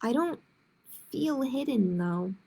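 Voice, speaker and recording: A woman's voice: on the high side, animated, slow pace, warm tone, husky, full character voice. A woman voicing a small, nervous young storybook dinosaur who tries to be brave, in an earnest, dramatic way.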